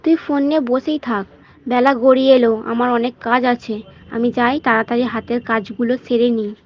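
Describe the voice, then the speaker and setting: A woman's voice: on the high side, steady tone, moderate pace. Woman acting a nagging cartoon mother, dull sound. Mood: exasperated, hurried. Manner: scolding, complaining. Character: nagging, scolding mother of a phone-obsessed son.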